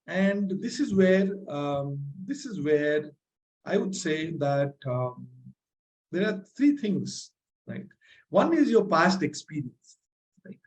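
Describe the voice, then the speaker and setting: A man hosting a live training masterclass, energetic and motivational, often checking in with "right?"; his voice is a little strained from speaking loudly. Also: fairly high pitch, muffled recording. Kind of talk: live online masterclass with audience questions.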